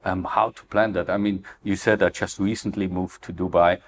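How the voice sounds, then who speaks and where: A man's voice: deep voice, flat delivery, normal speed. A middle-aged Austrian man with an Austrian German accent, a founder answering interview questions earnestly, with frequent fillers and non-native phrasing. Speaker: crypto ecosystem founder, guest.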